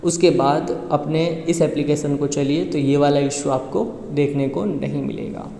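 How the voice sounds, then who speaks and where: A man's voice: a little high, steady tone, measured pace, warm tone. A man giving a casual step-by-step tutorial as host, explaining and repeating each step before the next. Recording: echoey, reverberant room.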